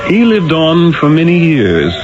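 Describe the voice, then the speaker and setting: A man's voice: on the high side, huge pitch swings, measured pace. An actor playing a sly, persuasive Renaissance painter telling his tale in a theatrical 1970s radio drama style. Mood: wry reminiscing. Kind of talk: 1970s radio drama acting and first-person narration. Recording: muffled old radio broadcast with music.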